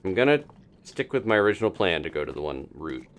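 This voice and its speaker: Low-voiced man with lively, swinging intonation. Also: fast, faint music behind. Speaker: game streamer, host. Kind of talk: casual live gameplay commentary.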